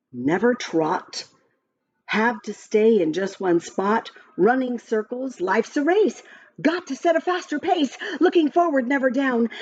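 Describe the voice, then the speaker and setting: A woman reading a children's picture book aloud in an expressive, sing-song way, adding chatty asides about the pictures. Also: a little high. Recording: slightly dull lo-fi, music behind. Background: music.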